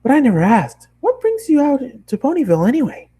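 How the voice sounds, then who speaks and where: Guy doing a hyper girly cartoon pony baker, way high voice, gushy and chattery. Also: huge pitch swings, warm tone, exaggerated voice. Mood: curious. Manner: rapid-fire, gushing, exclamatory chatter. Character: bubbly, chattering, excitable young female cartoon pony baker.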